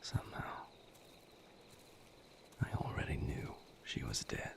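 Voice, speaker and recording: A man playing a brooding vampire lover in an intimate audio roleplay, confiding his grim past in a halting, somber, breathy near-whisper. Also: natural voice. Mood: weary, regretful.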